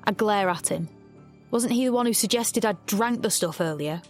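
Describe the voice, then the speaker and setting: A woman's voice: medium pitch, normal speed, soft background music, natural voice. An actress playing a resentful, rebellious teenage girl in an audio drama, with a sullen, challenging manner. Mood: inner turmoil.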